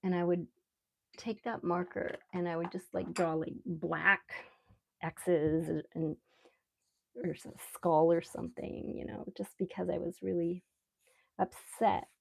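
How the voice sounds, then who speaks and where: A woman with a mellow, gravelly fry in her relaxed voice. She hosts a casual art live stream, thinking aloud in an unhurried, rambling way as she draws. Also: lowish voice. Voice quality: relaxed, soft-edged voice. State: relaxed, mildly sedated after anesthetic. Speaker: live stream artist host. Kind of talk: casual live stream chat while drawing.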